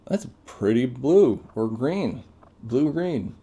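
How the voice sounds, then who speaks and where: A man's voice: medium pitch, lively intonation, slow pace, warm tone, slight background noise. A man giving casual, off-the-cuff unboxing commentary as a tech reviewer, laid-back and informal with plenty of slang.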